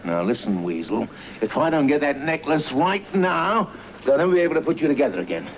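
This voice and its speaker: A man's voice: on the high side, big pitch swings, brisk, highs cut off, a little hiss or hum. An actor playing Mister Nolan, a menacing male gangster in a radio drama, barking threats.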